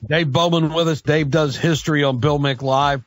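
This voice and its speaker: A man's voice: a little high, steady tone, normal speed, no echo, faint music behind. A man hosting a live radio talk show in a casual, conversational style.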